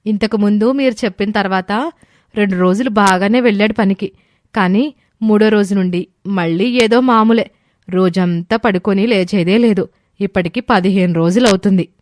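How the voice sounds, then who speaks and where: A woman voicing a worried, pleading village wife, plaintive and respectful, in an animated moral story. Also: mid-range voice, normal speed, no room sound, clean studio sound, lightly acted. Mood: troubled.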